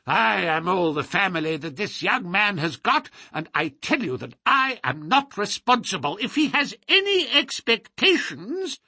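A man voicing a querulous, miserly little old lord with a sharp crackle and a quick, intense manner. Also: high-pitched, big pitch swings, creaky, husky, dry recording, studio quality, voice pushed up, exaggerated voice. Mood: indignant, shrill.

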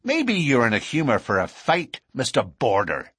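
A man's voice: fairly low pitch, very animated, a little slow, fairly bright tone, slightly rough, dry recording, clean studio sound, full character voice. A man voicing a domineering, savage young rival in a storybook, curt and menacing. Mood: threatening.

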